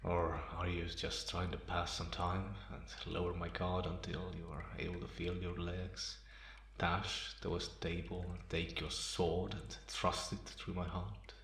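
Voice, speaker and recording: A man playing a calm, teasing half-vampire in an ASMR roleplay, pausing often and sounding softly amused and restrained. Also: sits low. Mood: teasing, probing. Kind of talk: slow ASMR roleplay monologue. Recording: roomy echo, faint background noise.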